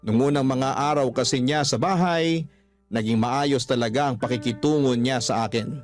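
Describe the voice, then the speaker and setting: Man, radio storyteller, medium pitch, dramatic first-person narration, soft music bed. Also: normal speed. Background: faint music.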